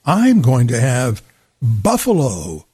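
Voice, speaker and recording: An older man hosting a radio show, chatting in a dry, wry, meandering way. His voice is slightly husky and his pitch swings widely. The studio sound is close and dry. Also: wildly swooping pitch, slow, warm tone, no room sound. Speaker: radio host. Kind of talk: casual radio banter. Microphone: studio mic.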